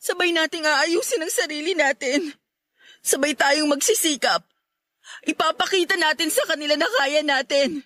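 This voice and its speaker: Very high, tearful young Filipina. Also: female voice, moderate pace, pushed and bright, dry recording, studio quality. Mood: earnest pleading. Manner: pleading, emotional, insistent. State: tearful, crying. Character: lovestruck, desperate young woman pleading with her boyfriend. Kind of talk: Filipino radio drama acting.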